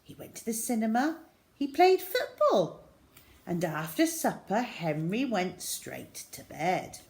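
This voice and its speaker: A woman tells a children's story at an unhurried pace. Her delivery is dramatic and very lively in pitch, sinking into hushed tones for suspense. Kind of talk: animated story reading for children.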